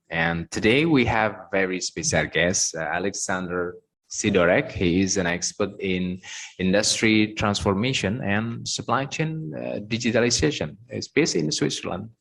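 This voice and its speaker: A man's voice: lowish voice, moderate pace, vocal fry, a bit bright. A man hosting an interview podcast, introducing and questioning in a conversational way. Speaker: podcast host.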